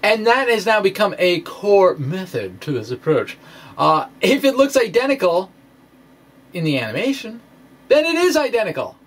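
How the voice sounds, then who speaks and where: A man giving an informal explanatory lecture in a halting, conversational way, as if thinking aloud. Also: high-pitched, sing-song. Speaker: presenter of a physics blog. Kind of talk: informal explanatory lecture, dramatic reading of a blog post.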